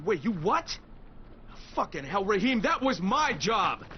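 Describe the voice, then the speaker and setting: Determined survivor hero, muffled, noisy. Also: male voice, high-pitched, sing-song, unhurried. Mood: alarmed, urgent. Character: gruff, determined action hero, adult survivor in zombie game. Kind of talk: video game cutscene acting.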